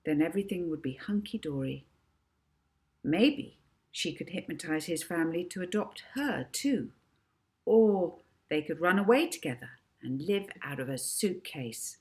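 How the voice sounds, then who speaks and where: A woman's voice: lowish voice, lively intonation, unhurried, darkish tone. A woman narrating a children's storybook expressively, as an author reading her own book.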